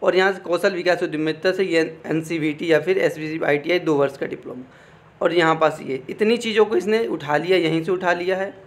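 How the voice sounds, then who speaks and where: A man's voice: a little high, steady tone, brisk. A man giving an informal explainer, reading out official text and commenting, often repeating phrases and adding check-in tags. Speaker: exam information presenter.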